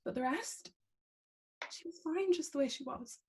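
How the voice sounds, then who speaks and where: An actress playing a defensive, sharp-tongued young granddaughter in a scripted drama read over a video call. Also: female voice, high-pitched, moderate pace, lightly acted. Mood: indignant. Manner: curt, indignant.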